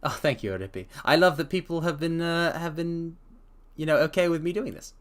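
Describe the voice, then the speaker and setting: A man's voice: on the high side, brisk. A man streaming a dramatic story reading, breaking off with enthusiastic, chatty asides to viewers.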